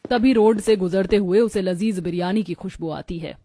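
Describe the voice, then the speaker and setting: A woman's voice: medium pitch, normal speed, mellow. A woman giving scripted, dramatic storytelling narration for a moral tale.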